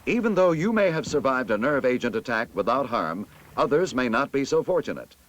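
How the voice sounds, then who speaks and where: American male narrator for vintage military training film: authoritative, clearly enunciated, mid-pitched with lively intonation. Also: brisk, a little hiss or hum, rough recording.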